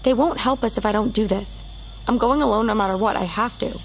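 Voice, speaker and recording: A woman's voice: fairly high pitch, a little fast, vocal fry, faint music behind. An actress playing a headstrong, pleading young American woman, stubborn and wounded, in a naturalistic audio drama. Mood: firm, guarded. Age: young adult.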